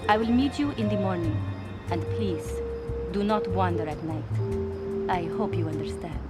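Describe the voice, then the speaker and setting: An actress playing a poised, guarded official and translator in a video game cutscene, formal and pointed. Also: female voice, on the low side, moderate pace, over loud music, natural voice.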